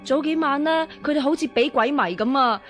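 Spoiled teenage-like princess, Hong Kong Cantonese radio drama; pouty wheedling, high, sharp, faint music. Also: female voice, fairly quick. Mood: childish, insistent. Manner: whiny, pouty, coaxing.

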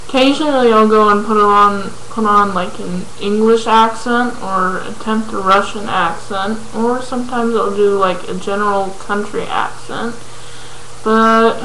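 Young woman vlogger from California, slow halting webcam chat, light echo. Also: medium pitch, a bit bright, slight background noise. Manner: hesitant, many ums, halting when reading aloud. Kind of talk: casual chat answering a questionnaire. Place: small bedroom indoors. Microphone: webcam at close range.

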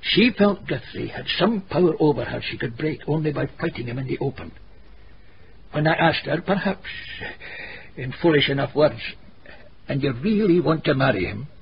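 Gravelly Scottish village storyteller, noisy recording. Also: male voice, a little high, lively intonation, moderate pace. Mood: earnest storytelling. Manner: storytelling, folksy. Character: gossipy Scottish villager recounting events, shrewd and wry. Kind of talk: radio drama narration and dialogue. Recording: dull, noisy old radio drama recording.

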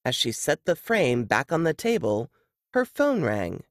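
Woman reading fiction with lively intonation. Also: a bass voice, a little slow, no echo, studio quality. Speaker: narrator reading a novel excerpt. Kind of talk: expressive audiobook-style narration.

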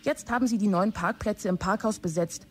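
A woman playing an aggrieved office assistant in a radio drama, confiding in a matter-of-fact way with a husky voice. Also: mid-range voice, brisk, lightly acted.

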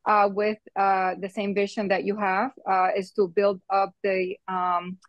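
A woman's voice: medium pitch, level tone, a little slow, no room sound, highs cut off. A middle-aged woman from Rico, speaking on a phone video call and sharing her mission in a hesitant way, full of ums and "right?". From Puerto Rico. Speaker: nonprofit member calling in. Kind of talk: casual call testimony.

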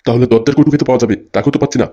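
A man's voice: medium pitch, rapid-fire, warm tone, muffled recording, lightly acted. A man playing a bossy, foul-mouthed crime boss and politician, scolding and commanding in a comic cartoon dub. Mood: incredulous.

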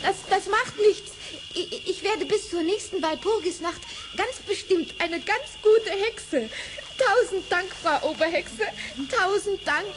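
A girlish little witch, speaks in a very high, timid, pleading voice and stammers. It comes from an old, noisy radio play record. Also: unhurried. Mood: eager, grateful. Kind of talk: vintage children's radio play acting.

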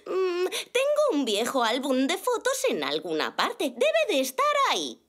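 A woman voicing a kindly, chuckling elderly great-grandmother zebra in a cartoon dub, gentle and exclamatory. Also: sits high, wildly swooping pitch, unhurried, full character voice. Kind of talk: Spanish children's cartoon dubbing.

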